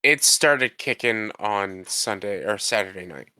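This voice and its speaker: A man's voice: on the low side, animated, a little slow, sharp tone, dry recording. A man co-hosting a podcast while congested from a cold, rambling and defensive in casual chat. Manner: rambling, defensive, restarts often. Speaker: podcast co-host.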